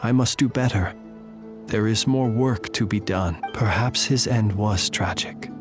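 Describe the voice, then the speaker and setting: Melancholy gentleman lantern keeper for a fantasy game, male actor: lowish voice, formal and measured, music clearly behind. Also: natural voice. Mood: solemn, reverent. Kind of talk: scripted game drama, eulogy-like.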